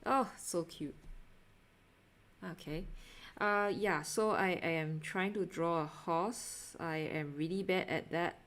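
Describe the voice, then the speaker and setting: Lower-pitched Singaporean woman chatting casually. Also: a bit bright. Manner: self-deprecating, giggly, halting. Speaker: art livestreamer. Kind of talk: casual livestream chat while drawing.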